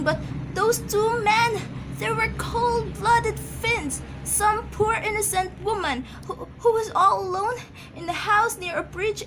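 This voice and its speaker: A woman acting in an amateur radio drama as a frail, nervous, demanding wife who stammers, pleads and gets agitated. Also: extremely high pitch. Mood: urgent pleading. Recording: noisy amateur radio play recording.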